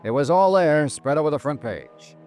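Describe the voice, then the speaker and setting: An actor playing a wry, tough insurance investigator in an old-time radio noir drama, clipped and sardonic, who also narrates. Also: male voice, on the high side, expressive, moderate pace, darkish tone, soft background music, natural voice. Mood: brisk, matter-of-fact. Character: wry, hard-boiled male insurance investigator.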